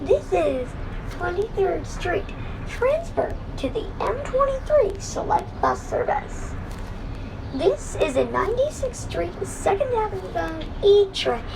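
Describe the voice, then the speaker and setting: A very high-pitched woman delivers automated-style train announcements at a slow pace, over clear vehicle noise. Her phrasing is formulaic and carefully enunciated, with each piece set apart. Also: sing-song. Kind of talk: scripted automated transit announcements. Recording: noisy recording with train rumble. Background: train ambience and vehicle noise.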